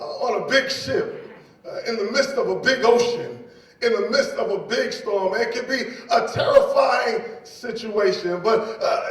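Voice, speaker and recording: A man from New York City preaching a sermon as pastor, repeating key phrases and speaking straight to the congregation. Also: very high voice. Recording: echoey hall, distant mic.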